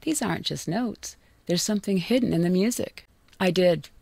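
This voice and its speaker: A woman voicing a loving but secretive mother in a video game, gently firm and evasive. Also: on the low side, normal speed, vocal fry, husky, dry recording. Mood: tender, reflective. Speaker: voice actor.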